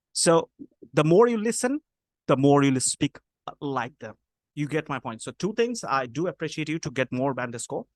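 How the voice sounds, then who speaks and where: A man's voice: medium pitch, no echo. A man from Bangladesh with a Bangladeshi English accent, giving spoken feedback as an IELTS speaking coach, with many hesitant fillers.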